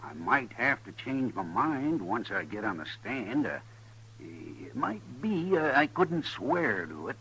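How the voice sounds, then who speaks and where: A man's voice: mid-range voice, sing-song, takes it slowly, pushed and bright, husky, full character voice, low-quality recording. An actor playing an evasive, shifty frontier townsman in an old-time radio western. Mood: evasive, uneasy. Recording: vintage radio drama recording.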